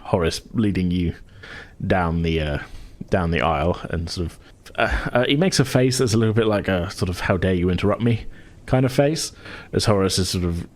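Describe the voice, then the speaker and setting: A man's voice: low-pitched, animated, normal speed. A man improvising narration as a tabletop game master, hesitant and conversational, as if thinking aloud. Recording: music playing behind the voice. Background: music.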